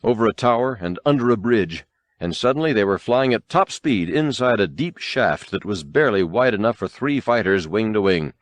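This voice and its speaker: Low-voiced man narrating an audiobook. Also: animated, normal speed, dry recording. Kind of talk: dramatic scripted storytelling narration.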